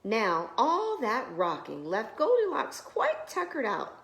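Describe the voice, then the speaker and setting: Female preschool storyteller, animated melodic delivery for children's picture books, recorded in an echoey room. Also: fairly high pitch, slow pace. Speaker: preschool teacher reading stories. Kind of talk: expressive children's storybook reading.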